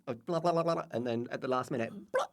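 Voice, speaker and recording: A man chatting casually as a comedy guest, excitable, playful and rambling, with some swearing. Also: medium pitch, fast talker, a little hiss or hum. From Hampshire, England. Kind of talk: fast, bantering casual chat.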